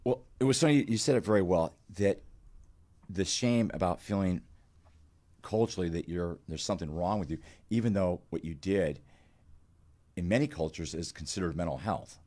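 Older guy, kinda low voice, explaining stuff reassuringly to a caller, studio mic. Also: moderate pace, mellow. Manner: reassuring, validating. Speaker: guest psychologist and author. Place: indoor studio. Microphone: close studio microphone on an arm.

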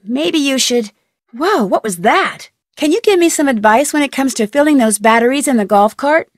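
A woman's voice: sits high, lively intonation, moderate pace, no room sound, clean studio sound. A woman playing an inquisitive, persistent young amateur detective in a video game, speaking in a polite, earnest way. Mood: curious. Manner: polite, earnest, crisply questioning. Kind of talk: video game dialogue voice acting.

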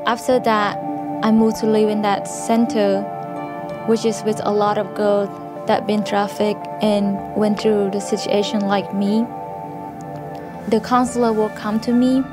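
A woman speaks softly and plainly, her pitch staying very level throughout. She is recorded on a studio interview set with a clear mic while music runs behind her. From Cambodia. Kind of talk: personal testimony. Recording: music underneath.

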